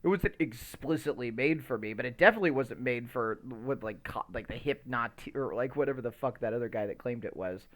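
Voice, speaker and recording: A man's voice: mid-range voice, animated, brisk, few pauses, fairly bright tone. Rambling, stammering man hosting a podcast. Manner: rambling, stammering, frequent swearing. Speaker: podcast host and live streamer. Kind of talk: casual unscripted podcast chat.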